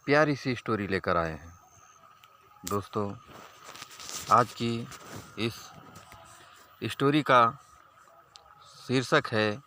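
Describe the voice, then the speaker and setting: Very slow, bright-voiced male storyteller. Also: medium pitch. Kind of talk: scripted moral story narration for listeners.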